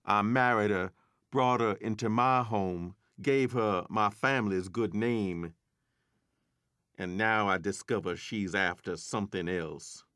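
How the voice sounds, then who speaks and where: An actor playing a jealous, snobbish husband narrating an audio drama in a formal, measured way. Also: male voice, fairly low pitch, a bit bright, no room sound, studio quality. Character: jealous, snobbish husband recounting his wife's deceit.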